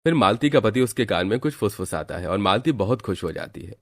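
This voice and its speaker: A man's voice: on the low side, expressive, a little fast. A man giving scripted storytelling narration for a moral cartoon.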